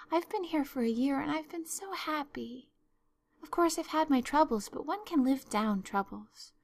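A woman's voice: high-pitched, pitched above the performer's own voice. A woman voicing an imaginative, earnest young girl in a storybook, talking in an effusive, theatrical, pleading way. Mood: wistful, earnest. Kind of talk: storybook narration.

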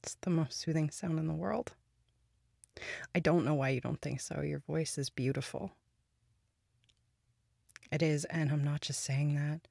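A woman voicing a grieving young girlfriend who moves between bitter anger and soft whispers, speaking close to the microphone. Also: low voice, flat delivery, moderate pace, hardly any pauses, no echo. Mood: loving. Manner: shifts between angry outbursts and soft whispers. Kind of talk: audio roleplay to a listener.

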